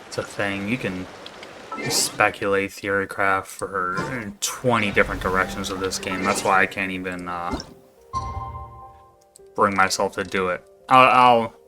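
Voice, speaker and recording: A man's voice: on the low side, normal speed, pushed and bright, soft background music. A man giving casual, blunt, informal commentary as he plays through a game. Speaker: game streamer.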